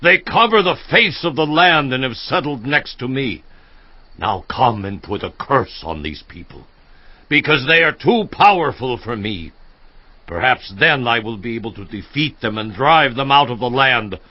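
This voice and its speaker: An anxious ancient king, declaiming urgently with a widely swinging, mid-pitched voice. It is audio Bible drama, recorded dry and a bit dull. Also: sing-song, moderate pace, no echo. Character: Balak, fearful, urgent male king of Moab.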